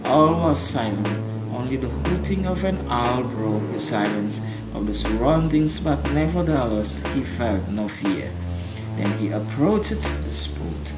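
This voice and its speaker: Folk tale narrator, deliberate and dramatic, unhurried, with roomy sound over loud music. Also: mid-range voice. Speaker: storyteller. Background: loud instrumental music.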